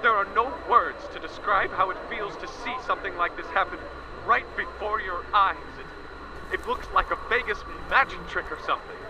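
A man's voice: high voice, moderate pace, lightly acted. An actor playing a seasoned male war correspondent reporting live on a line, stammering in awe, in a radio drama with a noisy recording. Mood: awed. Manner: stammering, awed and breathless. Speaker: field reporter.

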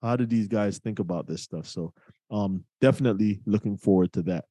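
A man's voice: on the low side, brisk, mellow, dry recording. A man co-hosting a podcast, chatting in an informal, joking manner. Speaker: podcast co-host. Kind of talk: casual chat.

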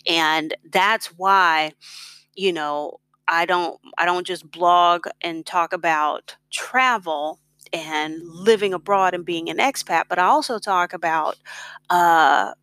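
A woman's voice: lowish voice, slow pace, a bit bright, dry recording. A middle-aged American woman telling her own story in a casual, reflective, conversational way. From United States. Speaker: blogger on expat life and personal finance.

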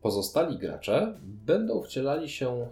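A man co-hosts a casual review chat with a very animated, swinging intonation. His pitch sits in the middle range. The room rings slightly around him, with soft music underneath. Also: a little slow, reverberant. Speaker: podcast co-host.

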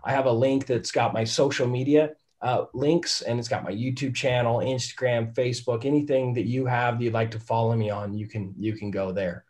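A man, a real estate investor and podcast guest, telling his story candidly in a casual interview, his voice raspy from illness. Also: mid-range voice, fairly even intonation, a little fast, few pauses, a little mellow. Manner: candid, says "right?" often.

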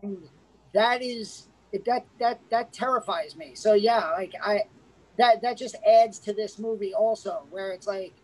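A woman's voice: mid-range voice, measured pace, darkish tone, low-quality recording. A woman co-hosting a casual movie review podcast, talking eagerly and thinking aloud as she goes. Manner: chatty and enthusiastic, thinking aloud. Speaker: podcast co-host.

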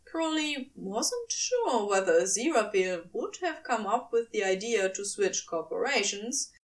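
Woman, scripted fiction narration, slower pace, expressive pitch movement, a bit distant from microphone. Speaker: podfic narrator.

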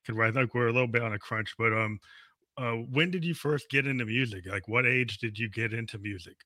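A man's voice: mid-range voice, a little fast, pushed and bright, reverberant. A man in his fifties hosting an interview, rambling casually with many restarts. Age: 50s. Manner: rambling, restarts often, laughs easily. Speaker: radio show host.

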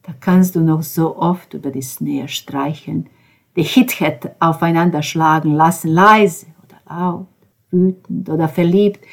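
A woman reading as a grieving, bitter young man, a drummer, in an intense first-person monologue that veers between hushed whispers and outbursts. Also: low voice, steady tone, a little slow, gravelly, heavily acted. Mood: anguished. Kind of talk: dramatic audiobook reading.

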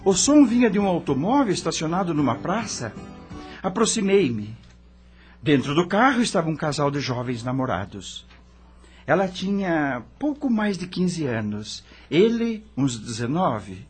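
A man's voice: on the high side, very animated, measured pace, slightly rough, full character voice. A Brazilian man acting as the frightened, lost ghost of a rock star, narrating a radio drama in a dramatic, emotional way. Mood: anguished, haunted. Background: background music.

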